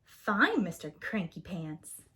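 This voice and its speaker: A woman voicing a cheeky, chatty young boy in a storybook, quick to argue back. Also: on the low side, big pitch swings, pushed and bright, pitched below the performer's own voice, full character voice. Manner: cheeky, argumentative.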